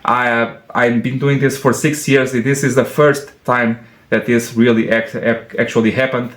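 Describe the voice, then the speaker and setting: A man's voice: mid-range voice, flat delivery. Portuguese-accented man in an echoey workshop. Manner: halting, many restarts and fillers, earnest. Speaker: car mechanic and channel host. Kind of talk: apologetic casual vlog talk. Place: car workshop.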